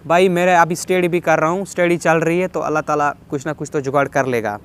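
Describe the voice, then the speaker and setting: A man's voice: a little high, fairly even intonation, a little fast, a bit bright, clear, resonant tone. A man in his twenties with a Kashmiri accent chats casually, reading out viewer questions and answering them with some English phrases mixed in. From Kashmir, India. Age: 20s. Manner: chatty, reads questions aloud then answers, slips English phrases in. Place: outdoors on a rooftop.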